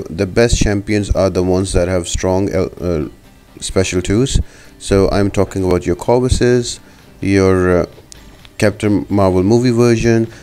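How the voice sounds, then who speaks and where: A man with a fairly low-pitched voice narrates a video game walkthrough. He talks as a guide host, explaining tactics in an instructional way. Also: dark tone, clean tone.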